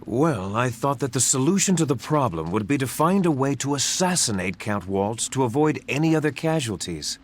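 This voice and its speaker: An actor playing a dutiful young prince in a video game cutscene, speaking courteously and gravely. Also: male voice, mid-range voice, sing-song, moderate pace, hardly any pauses, a little hiss or hum, natural voice.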